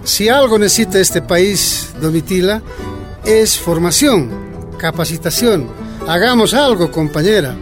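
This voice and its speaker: An actor playing a keen younger union leader in a radio drama, earnest and persuasive. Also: male voice, sits high, expressive, a little slow, music in the background.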